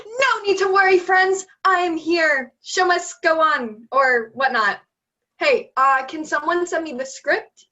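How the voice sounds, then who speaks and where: A woman's voice: very high-pitched, a little slow, pushed and bright, lo-fi sound, exaggerated voice. A teenage girl playing a carefree, self-important contest winner in a stage comedy, speaking breezily. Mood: breezy, self-important.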